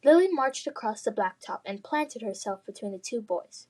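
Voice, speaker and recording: A woman reading children's fantasy fiction aloud in expressive, scripted narration. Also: fairly high pitch, normal speed. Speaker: storybook reader.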